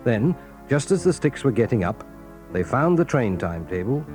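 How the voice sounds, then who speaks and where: Mellow-voiced male storybook narrator. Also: mid-range voice, very animated, faint music behind. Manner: measured, storytelling.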